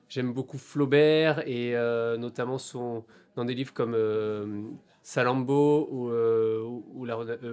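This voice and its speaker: A man in his forties from France talks about his novels in a bookshop interview. He searches for words, pausing and restarting often, yet keeps a reflective, earnest tone. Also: medium pitch, clean tone. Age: 40s. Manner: hesitant, many fillers and restarts, thoughtful. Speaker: author.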